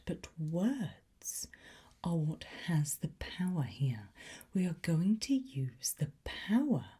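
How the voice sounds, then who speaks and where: A woman's voice: low-pitched, full character voice. Woman playing a whispering teacher of demon summoning. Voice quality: breathy, mostly whispered. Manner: slow, deliberate, teasing, softly encouraging. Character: demonology teacher, playfully conspiratorial woman giving a Latin lesson. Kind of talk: ASMR roleplay.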